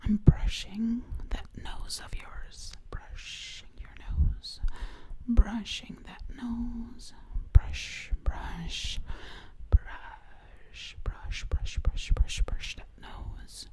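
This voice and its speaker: A woman performs ASMR in a close, breathy whisper. She speaks slowly and playfully as a sassy zombie princess, often repeating single words and short phrases. When any tone comes through, it sits slightly high. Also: fairly even intonation. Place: small living room, indoors.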